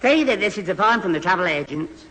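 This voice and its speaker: High-voiced, stammering Northern young boyfriend. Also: male voice, lively intonation, quick pace, fairly bright tone, long reverb tail. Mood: nervous, muddled. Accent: Northern English. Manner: stammering, muddles his words. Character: flustered, gormless, eager young boyfriend, a man. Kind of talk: 1970s radio comedy acting.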